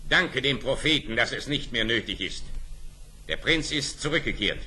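Fairy-tale radio play ruler: commanding Sultan, very bright forceful voice, lively intonation, formal. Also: male voice, mid-range voice, normal speed, slight background noise. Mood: stern. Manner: formal, authoritative. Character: stately, commanding Sultan, father of a prince.

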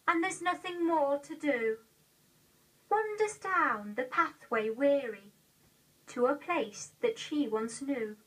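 An eighteen-year-old woman with an English accent recites a poem in a measured, carefully enunciated way on an old video recording. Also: sits high, fairly bright tone, far from the mic, low-quality recording. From England. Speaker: poetry reader. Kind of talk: poetry recitation. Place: indoor room, seated.

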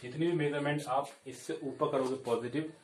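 A man's voice: fairly high pitch, steady tone, a little fast, distant mic, slight background noise. A young man teaching at a chalkboard, explaining step by step and often checking in with "okay, kids". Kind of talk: chalkboard lesson. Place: classroom at a chalkboard. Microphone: camera set back from the board. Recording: echoey room, voice a bit off-mic.

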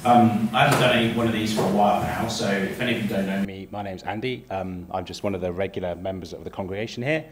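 A man in his thirties with a slightly croaky voice leads a church service, welcoming people and praying in a gentle, hesitant way with frequent ums, speaking into a stand microphone in a small church. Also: lowish voice, fairly even intonation, fast, few pauses. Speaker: lay worship leader. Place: small church sanctuary, indoors. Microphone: stand microphone at a lectern.

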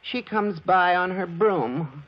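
A man's voice: sits high, animated, slow. An actor playing a feeble, henpecked telephone lineman who delivers mournful jokes in a 1940s radio comedy. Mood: droll. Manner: droll, mournful punchline delivery. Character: sickly, henpecked telephone lineman, middle-aged. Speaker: comedy guest. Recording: dull, noisy old radio broadcast.

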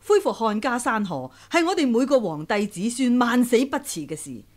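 A woman's voice: a little high, very animated, moderate pace. An actress playing a proud, stern old woman warrior who speaks forthrightly and emphatically in a radio drama. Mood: resolute. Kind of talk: Cantonese radio drama acting.

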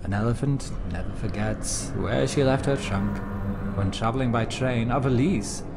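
An actor playing a pompous, condescending asylum doctor in a dark video game, speaking in a declamatory way. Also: male voice, medium pitch, moderate pace, heavy background noise, lightly acted. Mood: pompous, absurd. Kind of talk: dramatic video game acting.

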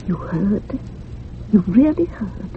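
An actress playing a troubled, gossipy older housekeeper in a radio thriller, rambling dramatically and sometimes dropping to a hush. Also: female voice, medium pitch, sing-song, takes it slowly. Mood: uneasy, guarded. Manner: rambling, dramatic, hushed at moments. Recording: muffled phone-like radio recording with hiss.

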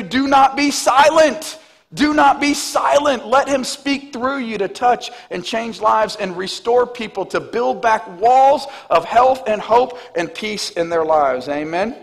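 Man, thirties, pastor, lively stage sermon, high voice, reverberant church hall. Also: unhurried, gravelly. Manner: animated preaching, walking the stage. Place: large church stage, indoors.

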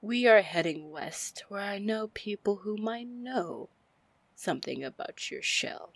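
A woman playing a confident, commanding, flirty female pirate captain in an ASMR fantasy roleplay, breathy and deliberate. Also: lively intonation, full character voice. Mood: businesslike, resolute. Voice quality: breathy, low, husky. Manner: slow, deliberate, smooth-talking. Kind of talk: ASMR roleplay acting, fantasy audio drama.

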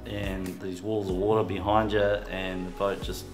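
Deep flat-voiced new zealand fisherman. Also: normal speed. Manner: easygoing, drawling, with tag "eh". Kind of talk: casual interview about a fishing trip. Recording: roomy sound with music behind.

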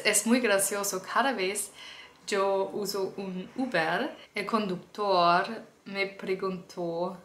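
A young woman, a native German speaker, chatting casually to camera at home as a vlogger, speaking haltingly with restarts as she learns the language. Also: medium pitch, a bit bright, reverberant, a little hiss or hum. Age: young adult. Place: small indoor room at home.

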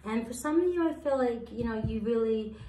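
A woman in her thirties with a slightly raspy voice, giving a casual tarot reading and rambling through her thoughts with many fillers. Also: fairly high pitch, brisk, dark tone, slight background noise. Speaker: tarot reader. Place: sparse room, indoors, seated at floor level. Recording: echoey empty room.

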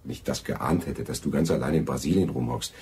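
Radio drama gentleman, bass voice, smooth-talking and patronising. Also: brisk, slight background noise. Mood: placating. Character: smooth-talking, condescending false friend, a gentleman. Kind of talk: German radio drama acting.

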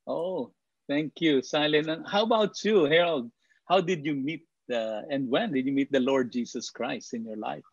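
A middle-aged man with a Filipino accent, interviewing guests as a show host in halting, deliberate phrases. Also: a little high, husky, dry recording, lo-fi sound. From Philippines. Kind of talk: interviewing guests, switching between English and Tagalog. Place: indoors at home, on a video call.

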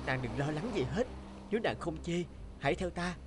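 A man playing an earnest, easily amazed young castaway fisherman in a cartoon fairy tale, with expressive, exclaiming delivery. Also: a little high, fairly quick, music in the background, close to an everyday voice. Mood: earnest, gentle.

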